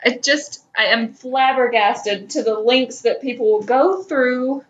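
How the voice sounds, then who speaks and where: A young woman co-hosting a podcast in casual chat, with hesitant, halting phrasing. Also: on the high side, muffled recording. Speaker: podcast co-host.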